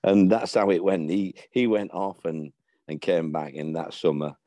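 An older man tells stories in a low, mellow voice, slipping into the voices of the people in them. The sound is dry and close, with almost no room around it. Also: normal speed, dry recording. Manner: animated storyteller, acts out dialogue. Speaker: former football manager telling stories. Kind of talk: casual anecdotal chat.

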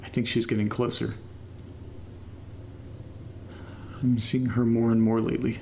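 Casting: man as uneasy found-footage horror diarist, everyday mid-pitched voice, low-key monotone, hesitant muttering, fry at the edges. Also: slight background noise, lightly acted. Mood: frightened reading aloud. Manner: hesitant, halting, casual muttering to listeners. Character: uneasy man documenting his new house, casual, nervous. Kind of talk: found-footage horror audio drama, spoken diary.

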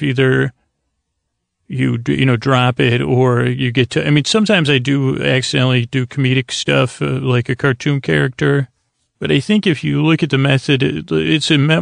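Super creaky gravel-voiced guy doing a bedtime podcast, soothing, wanders and trails off, real dry close sound. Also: mid-range voice, no room sound. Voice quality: soothing, lulling tone. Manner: meandering, halting, repeats and trails off mid-sentence. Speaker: podcast host. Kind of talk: rambling bedtime monologue to help listeners sleep.

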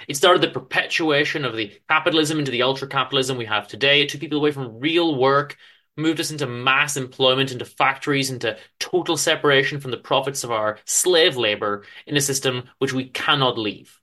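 A man reads literary fiction aloud in a dramatic style. His voice is mid-pitched, with a sharp, bright edge. He places emphasis deliberately, using theatrical pauses. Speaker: author reading own story aloud. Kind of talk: dramatic literary story reading.

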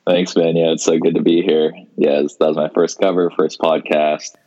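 A man's voice: very deep voice, little pitch movement, moderate pace, dark tone, highs cut off. A man in his twenties from Seattle, a guest on a podcast, talking in a laid-back way with many fillers and restarts. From Seattle, Washington, US. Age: 20s. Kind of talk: casual interview chat.

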